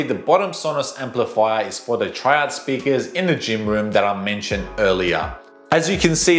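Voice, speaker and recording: A man's voice: mid-range voice, reverberant. A man in his thirties giving upbeat, scripted video narration as a channel host, recorded on a lapel mic. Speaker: home theater channel host. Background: background music.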